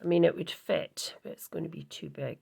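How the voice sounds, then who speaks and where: A woman hosting her card-making channel, chatting casually through a crafting tutorial with a croaky voice, rambling and correcting herself as she goes. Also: deep voice, fairly even intonation, fairly quick, a little mellow, slight background noise. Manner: rambling, self-correcting, many ums. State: croaky, husky voice.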